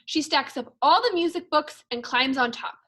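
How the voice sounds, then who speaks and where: A woman teacher reading a storybook aloud for young children, expressively and in measured phrases. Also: sits high, sharp tone. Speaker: second grade teacher.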